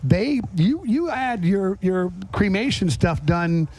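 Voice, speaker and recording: High-voiced man hosting a casual chat. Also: very animated, takes it slowly, a little mellow. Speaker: podcast host.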